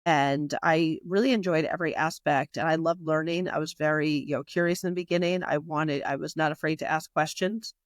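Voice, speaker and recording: A woman's voice: low voice, fairly even intonation, fairly quick, hardly any pauses, no room sound, studio quality. A woman guest in a casual podcast interview, talking in a rambling way with many fillers and restarts. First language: not Italian. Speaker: magazine publisher and guest.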